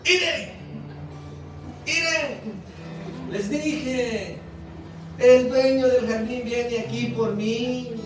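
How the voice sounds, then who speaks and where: A man voicing a vain, boastful oak tree in a parable, preening and melodramatic with drawn-out cries. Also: very high voice, full character voice. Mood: smug, preening. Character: vain narcissistic male oak tree, boastful, then wailing. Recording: echoey hall, far from mic, faint music.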